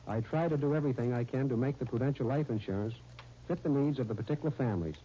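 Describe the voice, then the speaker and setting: A man's voice: medium pitch, very fast, warm tone. A middle-aged American man giving stiff, formal scripted answers as a guest on 1930s radio. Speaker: insurance agent guest. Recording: muffled, faintly noisy 1930s radio.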